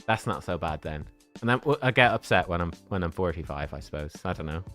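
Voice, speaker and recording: A man's voice: low voice, animated, fast talker, fairly bright tone, soft background music. A man in his thirties chatting casually with viewers on a game livestream, rambling and joking at his own expense. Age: 30s.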